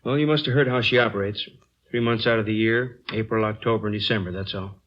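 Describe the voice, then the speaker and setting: An actor playing a matter-of-fact police detective with an American accent in a radio drama from the fifties. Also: male voice, lowish voice, fairly even intonation, fast talker, close to an everyday voice. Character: matter-of-fact male police detective reporting case details. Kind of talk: 1950s radio acting, plain dialogue. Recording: muffled vintage radio recording.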